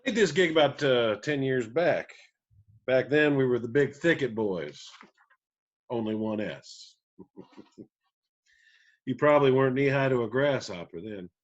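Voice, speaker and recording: Man playing a gruff aging hippie country singer, muffled sound. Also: mid-range voice, lively intonation, measured pace, pauses often, lightly acted. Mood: wry, folksy. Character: gruff 58-year-old hippie country band singer, easygoing. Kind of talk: screenplay table read.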